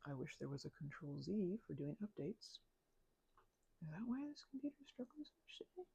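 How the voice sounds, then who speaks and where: A woman rambling casually as an ASMR host, mumbling in a low-key, musing way, her voice hushed and often slipping toward a whisper. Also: very animated, a little fast, gravelly, husky, dry recording, rough recording. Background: keyboard clicking.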